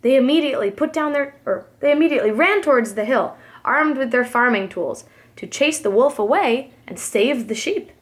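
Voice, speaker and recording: High, lively woman reading a storybook. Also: normal speed. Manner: expressive, addresses young listeners. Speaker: preschool teacher. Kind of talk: storybook reading for young children.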